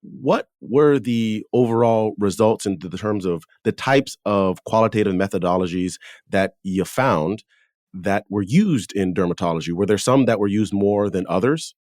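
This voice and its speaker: A man with a very deep voice hosts a scholarly interview. He puts long, measured questions to his guest, and the sound around his voice is very dry. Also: darkish tone, dry recording. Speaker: podcast host, interviewer.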